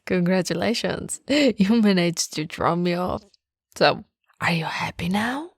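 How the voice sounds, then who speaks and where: Slow, teasing nerdy girlfriend, acted by a woman. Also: lowish voice, expressive, no echo, clean studio sound, heavily acted. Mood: amused, playful.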